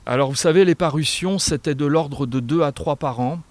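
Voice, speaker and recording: A man's voice: fairly high pitch, moderate pace, no echo. A man speaking as a guest author in a radio interview, answering in a reflective, hesitant way with trailing phrases. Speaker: guest author and small publisher.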